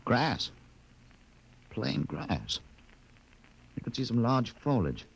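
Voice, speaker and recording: An actor playing a musing, observant scientist on a space expedition in a 1950s radio drama. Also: male voice, mid-range voice, huge pitch swings, slow pace, vocal fry, breathy edge, exaggerated voice. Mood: curious, musing. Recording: noisy old 1950s radio recording.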